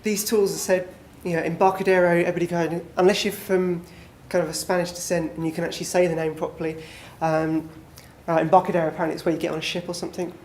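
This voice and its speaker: A man leads a relaxed, chatty session in a small conference room, leaning on fillers as he talks. His delivery stays flat and even. The room is echoey with faint noise behind him. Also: fairly high pitch, fast talker, hardly any pauses. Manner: relaxed, filler-heavy, conversational. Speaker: presenter. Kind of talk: conference talk.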